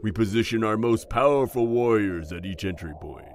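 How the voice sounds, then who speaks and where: Man acting a deep, genial dwarf king. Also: moderate pace, a little mellow, slight background noise, lightly acted. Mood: genial, explaining. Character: jovial, stately dwarf king, battle-seasoned. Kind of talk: fantasy audio roleplay acting.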